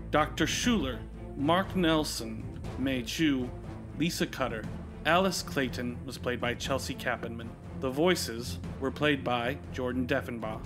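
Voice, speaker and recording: A man reading scripted radio play credits as an announcer, formal and deliberate. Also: mid-range voice. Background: music.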